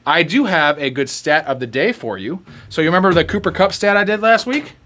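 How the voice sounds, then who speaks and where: A man's voice: on the high side, animated, fairly quick, bright, forceful tone, slight background noise. A man hosting a fantasy football podcast in a jokey, teasing manner. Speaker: podcast host. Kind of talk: casual sports chat.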